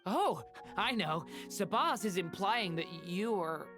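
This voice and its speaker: A woman voicing a gentle, polite young boy in a video game, earnest and a little hesitant. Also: medium pitch, expressive, slow pace. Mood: realizing. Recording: music under the voice.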